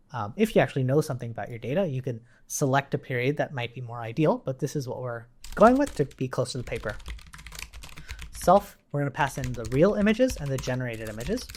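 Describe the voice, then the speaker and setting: A man with a medium-pitched voice talks through a programming lesson in an informal, explanatory way. His pitch moves up and down a lot as he reasons aloud. He sounds like an instructor working at the keyboard while he explains. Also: darkish tone, a little hiss or hum. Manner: explanatory, thinks aloud, often checks with "right?". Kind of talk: informal coding tutorial, explaining while typing.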